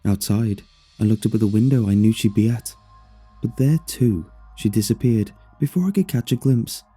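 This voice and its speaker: A man narrating a scripted first-person horror story in a measured, expressive way over music. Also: on the low side, husky. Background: faint music.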